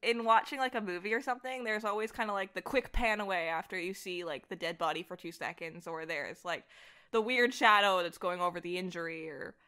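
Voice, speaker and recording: A woman chatting casually as an interview guest, animated, giggly and self-deprecating about herself. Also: lowish voice, fairly quick, clear, resonant tone. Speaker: podcast guest, voice actor and director. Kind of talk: casual interview chat about comics and horror.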